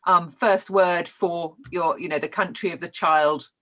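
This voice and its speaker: A woman's voice: lowish voice, fairly even intonation, measured pace, a bit bright. British woman teaching, explanatory delivery. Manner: explanatory, checking in with learners. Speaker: trainer teaching child development. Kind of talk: informal online lesson, explaining.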